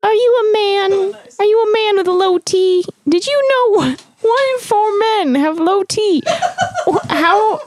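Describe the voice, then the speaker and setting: A woman's voice: measured pace, noisy background. A woman voicing an overexcited female infomercial announcer in a mock-salesy parody ad. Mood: hyped. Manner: mock-salesy, high and bouncy. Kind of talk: parody commercial.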